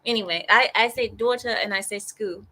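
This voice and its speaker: A woman's voice: mid-range voice, moderate pace, bright, forceful tone. A woman who is a native Arabic speaker, hosting a casual group chat and repeating words as she explains herself. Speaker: host of a group discussion.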